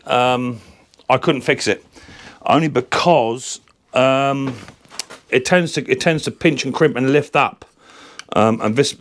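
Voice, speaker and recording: A man with a croaky, slightly sore-throated voice, talking through a mower repair in his home workshop. He rambles in a friendly, unscripted way, full of ums and restarts, at an ordinary middle pitch. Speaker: lawnmower repair channel host. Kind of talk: casual workshop repair vlog.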